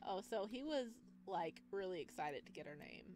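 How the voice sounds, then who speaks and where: A woman doing casual, excitable let's play commentary and reading a visual novel aloud. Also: fairly low pitch, normal speed, music in the background. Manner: expressive, excitable. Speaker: gaming video creator.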